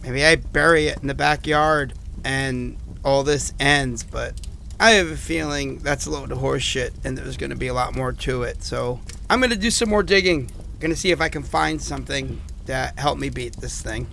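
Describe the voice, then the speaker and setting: A man's voice: medium pitch, moderate pace, creaky, bright, forceful tone, slight background noise. A man acting an anxious, self-doubting survivor who records rambling, hesitant diary messages in a horror audio drama. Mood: brooding, wry.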